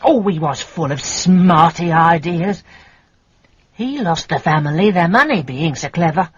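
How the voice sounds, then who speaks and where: An actress playing a chatty, fluttery older London landlady with a husky voice and a Cockney accent in a radio detective drama. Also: lowish voice, expressive, unhurried, dry recording. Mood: gossipy. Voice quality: husky, breathy. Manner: gossipy, rambling, motherly. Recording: muffled radio recording.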